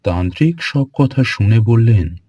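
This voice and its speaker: A man narrating a scripted horror story in a measured, dramatic way. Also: mid-range voice, lively intonation, warm tone, slightly rough, no room sound, muffled recording.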